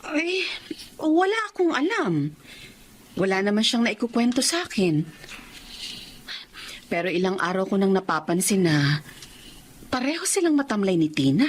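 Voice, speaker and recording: A woman playing a caring, concerned middle-aged mother in a radio drama, solicitous and expressive. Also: medium pitch, unhurried, long pauses, a little hiss or hum.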